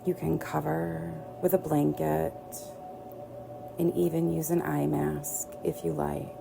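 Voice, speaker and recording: Woman, meditation teacher, unhurried, creaky low-edged voice, soothing, guided relaxation. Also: flat delivery. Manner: soothing, gentle, unhurried with long pauses. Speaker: yoga nidra teacher. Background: soft background music.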